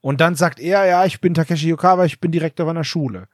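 A man's voice: fairly high pitch, fast talker, no echo, clean studio sound. A man co-hosting a casual podcast chat, laying out plot details and reading passages from a book. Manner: explains plot details, reads aloud from the book. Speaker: podcast co-host.

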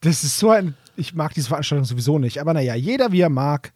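A man's voice: on the high side, lively intonation, fast, a little mellow, no room sound, studio quality. A man co-hosting a podcast, chatting casually in colloquial, slangy phrasing. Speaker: podcast co-host.

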